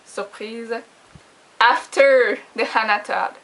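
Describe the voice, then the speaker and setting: High-voiced young Québécoise vlogger. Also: female voice, takes it slowly, a bit bright, rough recording. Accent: Quebec French. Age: young adult. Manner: informal, giggly, self-deprecating. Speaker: book vlogger. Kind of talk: casual chat to camera.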